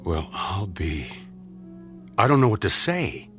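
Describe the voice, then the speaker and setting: Skeptical, sardonic male doctor, very animated delivery, radio drama with faint music. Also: low voice, slow, full character voice. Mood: baffled. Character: skeptical, dryly sarcastic senior male clinic doctor.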